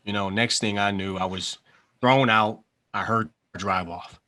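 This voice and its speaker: A man's voice: fairly low pitch, fairly even intonation, unhurried, bright, forceful tone. An actor at a table read playing a nervous, rattled young man who was duped by his date, speaking earnestly. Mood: dazed. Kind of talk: screenplay table read acting.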